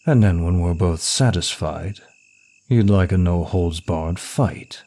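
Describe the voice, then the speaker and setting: A man playing a suave, smug male demon of lust in an ASMR roleplay, with a teasing, deliberate, seductive delivery. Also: sits low, dark tone, exaggerated voice. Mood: amused, smug.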